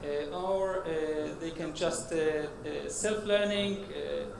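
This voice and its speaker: A man with a Hebrew accent from Israel giving a startup pitch at a conference, halting and full of uh fillers. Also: fairly even intonation, vocal fry. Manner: halting, many uh fillers and restarts. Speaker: startup CEO presenting. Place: conference hall stage. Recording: echoey hall with noisy background.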